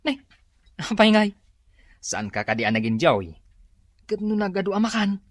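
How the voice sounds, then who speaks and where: A man's voice: high-pitched, big pitch swings, measured pace, dry recording, exaggerated voice. A man playing an older relative who teases and laughs at a reunion in a comedy radio drama. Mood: teasing. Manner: teasing, laughing.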